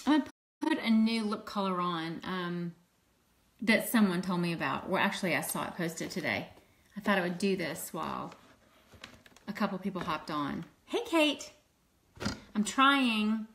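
A woman's voice: medium pitch, a bit bright. A woman in her fifties with a mild Southern accent, chatting on a phone livestream at home, greeting viewers and laughing easily. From Louisiana, US. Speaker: makeup seller hosting a livestream. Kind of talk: casual livestream chat. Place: indoors at home, at a table. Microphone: phone camera close up.

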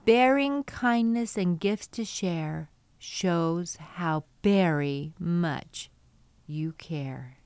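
A middle-aged woman hosts a storytime for little kids. She takes her time, stretching key words with expressive emphasis. The sound is dry, with very little room in it. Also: on the low side, extremely slow, clear, resonant tone, no echo. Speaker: children's storytime host. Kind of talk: read-aloud storytelling for young children.

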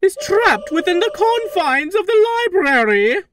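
Very high-pitched, grandiose robot queen villain. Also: sing-song, dry recording, clean studio sound, heavily acted. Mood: grand musing. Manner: theatrical, deliberate, grandiose. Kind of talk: fan-dubbed video game villain monologue. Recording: background music under the voice.

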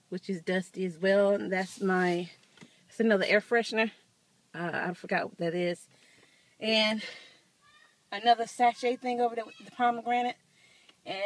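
A woman in her thirties chatting casually to viewers while filming a tour of her car on a handheld phone, laughing often. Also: medium pitch. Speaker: vlogger. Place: outdoors and inside a parked car. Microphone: handheld phone camera.

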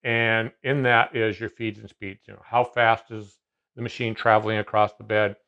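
A man's voice: on the low side, flat delivery, moderate pace, pushed and bright, clean tone, highs cut off. A man in his fifties explaining a CNC machine in casual conversation, talking in a loose, rambling way with many fillers and restarts, seated in a large garage workshop.

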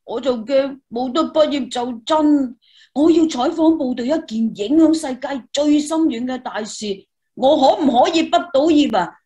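An actress with a Hong Kong Cantonese accent playing an eager, easily amazed young student reporter in a radio drama, exclamatory and expressive. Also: female voice, high voice, normal speed, close to an everyday voice. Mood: earnest, fretful.

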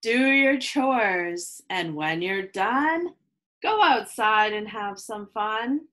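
A woman in her thirties reading a children's picture book aloud in slow, sing-song rhymes, recorded on a webcam at home. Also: mid-range voice, expressive, pushed and bright. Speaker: children's read-aloud host. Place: home room with plants, indoors.

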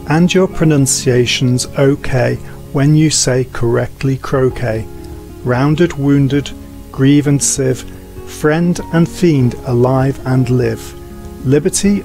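A British man in his fifties, an English teacher, reciting a poem with careful, deliberate enunciation. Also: mid-range voice, creaky, darkish tone.

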